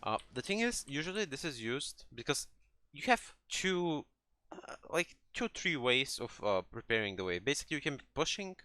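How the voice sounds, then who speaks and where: Bright-voiced male gaming coach. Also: medium pitch, big pitch swings, moderate pace, few pauses, no echo, studio quality. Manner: hesitant phrasing with many restarts, checking understanding with "right?". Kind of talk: replay analysis coaching, explaining conversationally.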